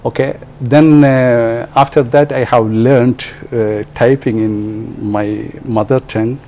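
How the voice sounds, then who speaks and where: A man from Kerala speaks English with a Malayali accent, his voice mellow and warm. He answers interview questions haltingly, leaning on fillers and repeating words as he restarts sentences. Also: mid-range voice, fairly even intonation. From Kerala, India. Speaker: translator being interviewed.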